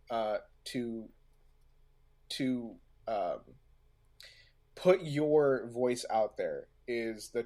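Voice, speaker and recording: A man talking casually as a podcast co-host, halting and repeating himself as he searches for words. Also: medium pitch, warm tone. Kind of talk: casual discussion of audio drama craft.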